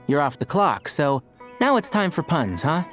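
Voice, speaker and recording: A man's voice: on the high side, very animated, normal speed, fairly bright tone, soft background music. An actor playing a dry, long-suffering young man in a video game, casual and wry. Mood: wry scolding. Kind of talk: video game character voice acting.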